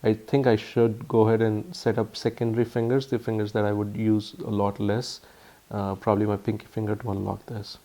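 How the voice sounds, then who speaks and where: A man with an Indian accent giving a casual, halting phone review full of ums and uhs. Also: on the low side, little pitch movement, dark tone, a little hiss or hum. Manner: earnest, explanatory. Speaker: tech reviewer.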